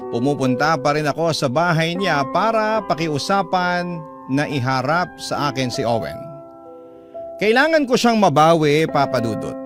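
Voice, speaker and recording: A man narrating a listener's letter in the first person, in an emotive classic radio style. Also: fairly high pitch. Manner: emotive, addresses the letter to the host. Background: loud guitar music.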